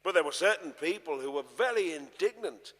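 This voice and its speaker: A man gives a dramatic narration of scripture in measured, emphatic phrases. His voice is a bit higher than average, and it carries a long, reverberant tail from the large church where he reads. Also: lively intonation, fairly bright tone. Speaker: narrator, reading the part of the evangelist. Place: large church, live performance.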